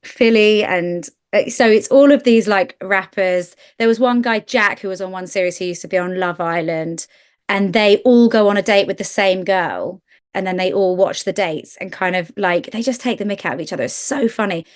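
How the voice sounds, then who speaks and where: A woman's voice: medium pitch, normal speed. A British woman with a mid-range voice talks as a podcast co-host. Her delivery is conversational and slangy, loosely phrased and informal, as in a chat between two people who know each other.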